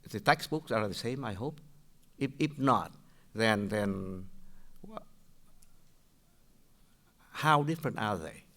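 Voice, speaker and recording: An older man from Vietnam with a Vietnamese accent, asking questions at a public meeting in a halting way, with many restarts. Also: mid-range voice, fairly bright tone. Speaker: public commenter.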